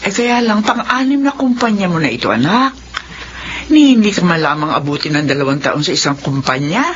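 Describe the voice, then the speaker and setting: A woman's voice: on the low side, sing-song, fairly quick, muffled recording, a little hiss or hum. An actress playing a caring, worried older mother in a radio drama, with a fretful, emotive delivery.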